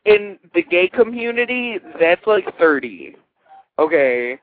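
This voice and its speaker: A man's voice: sits high, very animated, takes it slowly. A twenty-year-old man calling a radio show, chatting casually and confessionally in a blunt, sweary, self-deprecating way. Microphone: telephone. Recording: tinny phone line.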